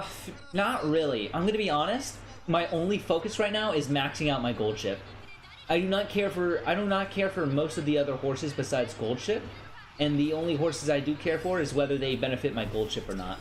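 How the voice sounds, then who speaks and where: A young American man chatting casually on a game stream, animated and playful, often stammering and repeating phrases. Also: fairly high pitch, slight background noise. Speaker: livestreamer, VTuber.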